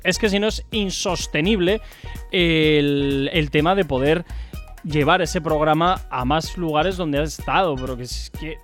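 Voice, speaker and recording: A high-pitched male radio host talks over a clear music bed. He chats colloquially, throwing in exclamations and teasing his co-hosts in a lively morning-show style. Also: unhurried. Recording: music bed behind studio voice.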